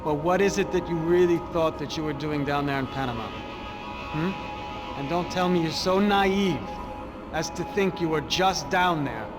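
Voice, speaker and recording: A man's voice: a little high, moderate pace, music in the background, lightly acted. An actor playing a sardonic male investigator in a video game, wry and needling, full of pointed questions. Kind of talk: video game cutscene acting.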